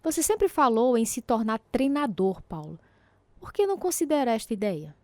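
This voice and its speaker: An actress with a Brazilian accent playing a boxer's devoted, supportive wife and young mother in a radio drama, gentle and sincere as she speaks and narrates. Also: fairly high pitch, sing-song, moderate pace, no room sound. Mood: tender. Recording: guitar music under the voice.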